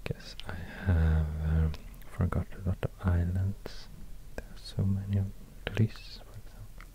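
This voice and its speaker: A man with a Swedish accent giving soft, whispery ASMR narration in a hushed, gentle manner, close to a binaural mic. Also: low-pitched, fairly even intonation, unhurried. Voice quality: whispery, breathy, unvoiced. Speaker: ASMR video host. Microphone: close binaural microphone.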